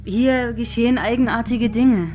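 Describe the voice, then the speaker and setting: A woman's voice: on the high side, steady tone, moderate pace, mellow, faint music behind, natural voice. A woman playing an intimate, earnest character in a German crime radio play. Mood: earnest, uneasy.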